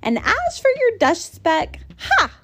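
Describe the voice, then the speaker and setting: Woman putting on a snappish, bossy storybook kangaroo, high-pitched. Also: wildly swooping pitch, takes it slowly, a bit bright, exaggerated voice. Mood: menacing. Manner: snapping, haughty. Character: bossy, scornful big kangaroo mother, snappish and menacing.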